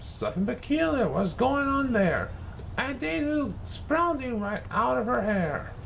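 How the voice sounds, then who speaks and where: A man reading a rhyming children's story aloud in a theatrical, animated way, as a storyteller. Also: extremely high pitch, a little slow, gravelly, a little hiss or hum, poor audio. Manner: theatrical, acts out characters.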